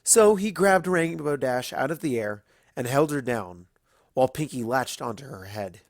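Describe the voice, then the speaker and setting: A man's voice: mid-range voice, expressive, moderate pace, no echo, studio quality. A man reading a scripted story aloud as its narrator.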